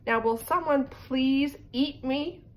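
A woman's voice: sits high, takes it slowly, voice pushed up. Woman voicing a fed-up, exasperated candy cane. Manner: exasperated, pleading. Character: fed-up, bossy old candy cane ornament. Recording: home phone recording with background noise.

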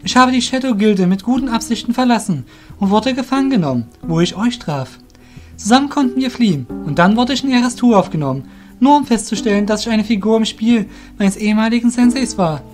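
A man's voice: high-pitched, lively intonation, fairly quick, darkish tone, faint music behind, voice pushed up. A man voicing a troubled young warrior in an audio drama, earnest and plaintive, blaming himself. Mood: guilt-ridden.

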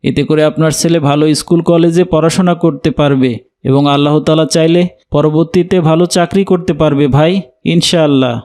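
A man's voice: fairly high pitch, steady tone, fairly quick, darkish tone, smooth, close to an everyday voice. A man voicing a kind, educated office worker in a cartoon, speaking courteously and helpfully. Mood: reassuring, helpful. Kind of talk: animated cartoon dialogue.

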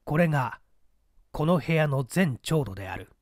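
A man reading a mystery story as a scripted, dramatic narration in a deliberate, theatrical storytelling manner. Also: on the high side, animated, dry recording, clean studio sound. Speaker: audiobook narrator.

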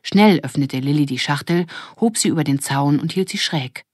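A woman's voice: deep voice, moderate pace, darkish tone, dry recording, studio quality. A woman narrating a scripted children's story as an audiobook reader.

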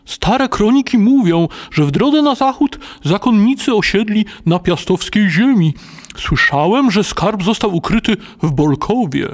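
Elderly noble knight, audio drama. Dignified, ceremonious, high-pitched for a man, richly melodic. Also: expressive. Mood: solemn, grateful. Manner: measured, ceremonious storytelling. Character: grateful elderly Spanish knight, dignified and solemn. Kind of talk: dramatic audio play acting.

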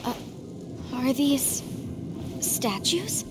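A woman's voice: sits high, animated, drawn-out words, background noise, full character voice. A woman voicing an earnest, principled young archaeologist in a fantasy video game, emphatic and easily indignant. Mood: earnest, uneasy. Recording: loud music and game sounds behind.

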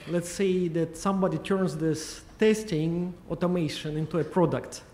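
A man's voice: fairly high pitch, moderate pace, a little mellow. A man in the audience asks a hesitant question through an aisle microphone in a large conference hall, repeating himself as he goes. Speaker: audience member asking a question. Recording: echoey hall ambience.

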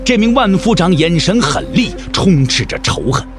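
Male narrator for martial-arts audio drama: very animated intonation, somewhat high pitch, scripted and dramatic. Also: normal speed, music in the background.

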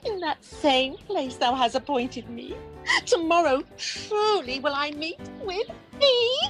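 A woman's voice: high voice, lively intonation, slow, faint music behind. A woman playing a devoted, earnest young woman in love, speaking heartfelt theatrical verse in a Shakespearean radio play. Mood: loving, eager.

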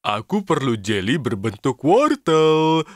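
Excitable cartoon guy, swooping pitch. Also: takes it slowly. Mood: excited. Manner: exclamatory, dramatic, eager. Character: excitable, impatient, childlike mustached male cartoon character. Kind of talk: children's cartoon dub in Indonesian.

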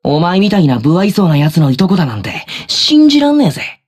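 Cheeky, husky, wildly animated teenage boy. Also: high-pitched, fairly quick, heavily acted. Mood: envious, swooning. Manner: jokey, slangy, exaggerated. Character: cheeky, teasing, excitable teenage schoolboy. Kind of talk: anime-style game voice acting.

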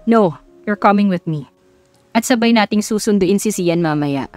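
A woman's voice: mid-range voice, moderate pace, a little mellow, soft background music, natural voice. A woman voicing a confident, commanding male company boss and father in a romance audiobook. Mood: firm. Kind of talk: romance audiobook character acting.

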